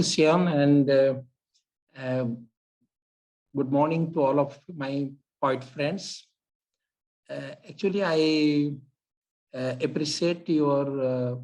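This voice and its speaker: A man's voice: medium pitch, darkish tone, poor audio. A man with an Indian accent, an audience member speaking hesitantly as he greets and comments.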